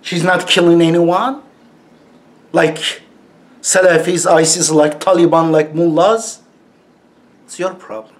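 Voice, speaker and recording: A man speaks in a heavy Turkish accent, his English broken and his tone mocking. His voice sits a little high for a man, and he talks slowly and deliberately, with scornful laughs between his points. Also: steady tone. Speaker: YouTube commentator. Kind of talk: casual monologue to camera.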